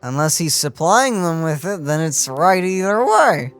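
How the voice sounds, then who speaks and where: A man's voice: on the high side, sing-song, measured pace, fairly bright tone, clear, resonant tone, faint music behind. A man chatting casually while playing a video game, with dry, teasing humor. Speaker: gamer co-commentating. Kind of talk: casual let's-play banter.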